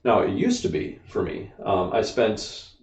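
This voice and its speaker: A man's voice: lowish voice, big pitch swings, a little slow, a little mellow, distant mic. An American man, a podcast co-host, explaining ideas at length in casual discussion, full of "like" and "you know.". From United States. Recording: dull, echoey room, off-mic.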